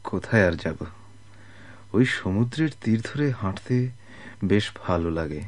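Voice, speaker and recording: Casting: a destitute, secretive wanderer, sick and spent. Lowish voice, halting, weak, radio play style. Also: male voice, animated. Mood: weary, resigned. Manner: halting, repeats words, pleading. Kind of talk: Bengali radio drama acting.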